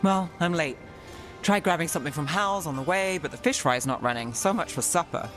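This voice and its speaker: Female actor as weary fantasy detective, laconic, deadpan, streetwise, game music behind. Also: low-pitched, a little fast, close to an everyday voice. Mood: tired, frustrated. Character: dry, weary streetwise investigator in a fantasy city. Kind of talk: naturalistic video game acting.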